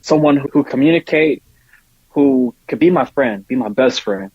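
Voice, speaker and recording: A man's voice: mid-range voice, moderate pace, a little mellow, husky, no echo, lo-fi sound. A man speaking casually and earnestly as a podcast guest, often repeating points for emphasis. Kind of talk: casual conversation.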